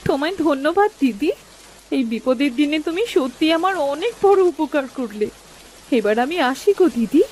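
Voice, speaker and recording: A woman's voice: high voice, lively intonation, moderate pace, a little mellow, a little hiss or hum. A woman playing an anxious, devout poor village wife and mother in a cartoon story, with a pleading, plaintive manner. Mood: grateful. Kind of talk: Bengali cartoon story voice acting.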